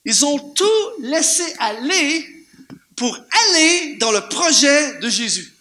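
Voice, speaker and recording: A man with a Canadian French accent preaching an animated sermon to a church congregation, firing off rhetorical questions and repeating words for emphasis. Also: very high-pitched, slow. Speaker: guest preacher. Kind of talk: lively sermon.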